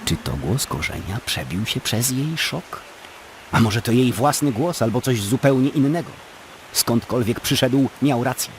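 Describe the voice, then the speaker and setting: A man narrating a scripted story as a dramatic, expressive storyteller in an audio drama. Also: medium pitch, unhurried, slight background noise.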